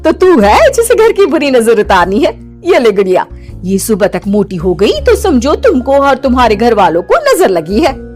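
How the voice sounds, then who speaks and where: A woman's voice: on the high side, huge pitch swings, moderate pace, a little mellow, soft background music. A woman voicing a sly witch who poses as a village healer in a cartoon story, exaggerated, insistent and cackling. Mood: sly, eager. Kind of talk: Hindi cartoon moral-story acting.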